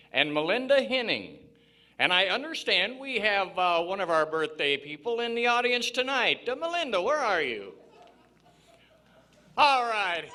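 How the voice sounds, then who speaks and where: Excitable vintage radio show host, acted by a man, forceful bright tone, lively swoops, folksy showman. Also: high voice, full character voice. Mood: folksy, upbeat. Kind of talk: 1940s radio variety show acting.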